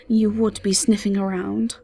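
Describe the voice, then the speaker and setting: A woman's voice: medium pitch, vocal fry, warm tone. A woman playing a rude, sardonic, lazy woman with a dry, mocking manner in a fantasy audio roleplay.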